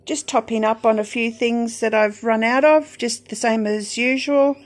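A middle-aged woman with an Australian accent, calmly narrating a budget grocery haul with frequent ums. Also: a little high. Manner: calm, unhurried, frequent ums. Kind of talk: casual voice-over narration.

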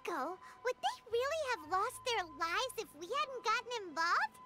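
Squeaky little fairy buddy from a game, super high voice, curious and bouncy. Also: female voice, sing-song, normal speed. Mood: puzzled. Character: tiny chirpy female fairy companion. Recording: game audio with music.

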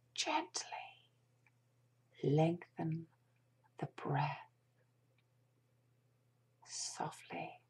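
A woman guides a meditation in a soft, breathy near-whisper with a creaky edge. Her delivery is extremely slow and gentle, leaving long pauses between short phrases. The tone is soothing and mellow. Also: low voice. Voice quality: hushed, breathy, partly whispered.

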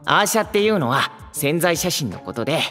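Young hopeful idol, very animated, earnest, friendly and easily flustered, anime audio drama. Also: male voice, on the high side, a little fast, faint music behind. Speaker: protagonist and narrator. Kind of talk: anime-style voice drama acting.

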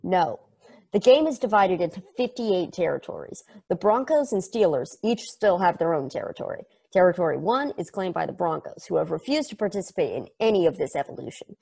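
Woman reading as a nerdy game fan, gravelly edge, rambly and earnest, video call mic. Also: fairly low pitch, big pitch swings, a little fast, a little mellow, exaggerated voice. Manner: earnest, rambling, self-correcting. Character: eager, nerdy fan explaining a strange game. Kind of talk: table read of a stage play.

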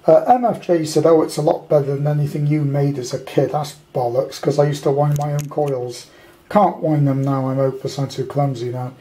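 Older British man, relaxed mellow voice. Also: fairly high pitch, brisk, poor audio. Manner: rambling, bluntly grumbling. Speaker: amateur radio hobbyist presenting a kit. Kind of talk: casual unscripted show-and-tell. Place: indoors at a tabletop at home.

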